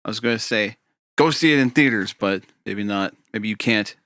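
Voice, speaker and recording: Bright, sharp-toned male podcast co-host, animated explainer with dry asides, no room echo. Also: on the low side, normal speed. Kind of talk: casual chat.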